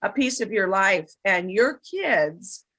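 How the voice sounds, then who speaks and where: Female kids' storytime host, mid-pitched, very unhurried delivery, encouraging and effusive, conversational interview style. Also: very animated, a bit bright.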